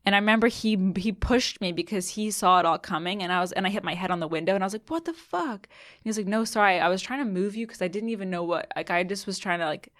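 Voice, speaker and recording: A young woman in her late twenties chatting as a podcast guest, telling stories and filling them with "like". Her voice sits at a middle pitch and sounds dry and close, with no room around it. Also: quick pace, no room sound. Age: 20s. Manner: animated storyteller, acts out quotes, many "like"s. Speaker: comedian guest. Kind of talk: casual podcast chat.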